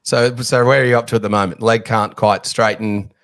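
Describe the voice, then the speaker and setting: A man's voice: fairly low pitch, fairly even intonation, brisk, a bit bright, clear, resonant tone, no room sound, clean studio sound. A man hosting a casual sports podcast, chatty and joking at his own expense. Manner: chatty, jokey, self-deprecating. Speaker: podcast host.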